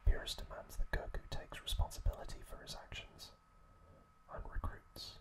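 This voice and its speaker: A man whispering breathily in a halting, gentle ASMR storytelling recap, close to the microphone. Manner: slow, halting phrases with pauses. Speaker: ASMR host.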